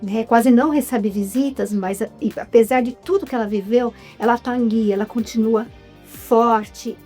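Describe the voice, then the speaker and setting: A Brazilian woman speaks in a higher voice with a Brazilian Portuguese accent, talking about her work as an interview guest. She talks with feeling and leans on her key words. Soft music plays faintly behind her. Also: steady tone, moderate pace. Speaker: interview guest, writer and filmmaker. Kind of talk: casual interview chat.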